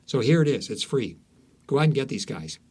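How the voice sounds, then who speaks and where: A man's voice: medium pitch, very animated, a little fast, darkish tone, husky. A man speaking as an author being interviewed, telling stories conversationally, searching for words and repeating some for emphasis.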